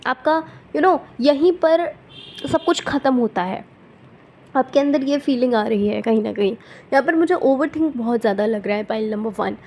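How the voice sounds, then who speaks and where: A woman tarot reader with a sore throat, speaking softly and gently, often checking in with her viewers. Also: lively intonation, moderate pace. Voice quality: soft and low-volume.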